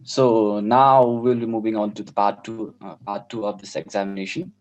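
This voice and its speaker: Low-voiced hesitant examiner man. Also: level tone, normal speed. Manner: hesitant, repeats and restarts questions. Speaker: mock examiner. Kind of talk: interview questions. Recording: dull lo-fi call audio.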